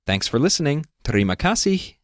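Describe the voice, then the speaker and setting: A man's voice: on the high side, very animated, a little fast, dry recording, studio quality. A man hosting a scripted language lesson, explaining step by step and prompting the listener with questions. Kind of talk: scripted instructional narration.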